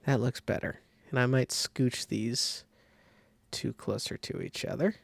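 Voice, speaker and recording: A man giving a casual step-by-step software tutorial, thinking aloud informally as he demonstrates. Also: mid-range voice, fairly even intonation, unhurried, creaky, no echo. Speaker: design tutorial instructor.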